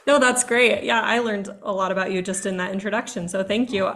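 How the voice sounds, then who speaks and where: A woman's voice: mid-range voice, fairly even intonation, fairly quick, a bit bright, slight background noise. A woman hosting a podcast interview, talkative and eager, recorded over a video call. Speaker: podcast host. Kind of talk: casual interview chat.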